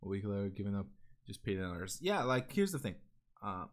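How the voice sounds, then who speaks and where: A man's voice: fairly low pitch, very animated, a little fast. A young man from Serbia with a Serbian accent, chatting casually and bluntly on a stream, swearing freely with lots of fillers. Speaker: vtuber streamer. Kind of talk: casual rambling stream chat.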